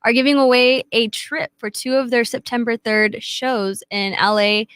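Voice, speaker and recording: A woman's voice: fairly high pitch, fairly even intonation, moderate pace, a bit bright, clean tone, no room sound. A woman whose first language is not English, reading news items as a radio co-host. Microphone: studio microphone.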